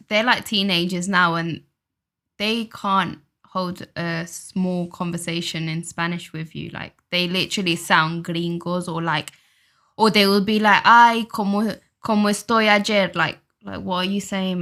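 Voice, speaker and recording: A woman chatting in a relaxed, conversational way, now and then mimicking people in passing. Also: mid-range voice, unhurried. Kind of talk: casual conversational chat.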